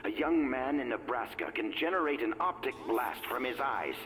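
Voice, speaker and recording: A man's voice: medium pitch, animated, normal speed, fairly bright tone, natural voice, rough recording. An actor playing a stern, fearmongering male politician dictating a personal log for a video game, grave, rhetorical and emphatic. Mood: alarmed, scornful.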